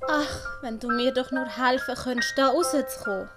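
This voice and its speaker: A woman with a Swiss accent voicing an emotional, earnest young servant woman in an audiobook, plaintive and expressive. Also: sits high, normal speed. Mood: hopeful, tender. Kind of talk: audiobook character acting. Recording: loud background music.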